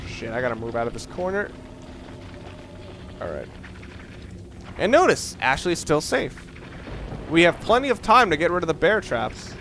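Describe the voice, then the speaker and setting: A man's voice: fairly high pitch, very animated, normal speed, long pauses, a bit bright. A man giving casual, unscripted video game commentary in a rambling, sweary, slangy way. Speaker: let's play commentator. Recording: clear background noise.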